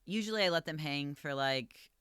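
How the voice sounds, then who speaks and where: A man who manages a coffee shop, talking casually and calmly, with a voice that projects and lots of "like" and "um.". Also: on the high side, moderate pace, clear, resonant tone, no echo, studio quality. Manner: calm, easygoing, filler-heavy with lots of "like" and "um". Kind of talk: casual podcast chat.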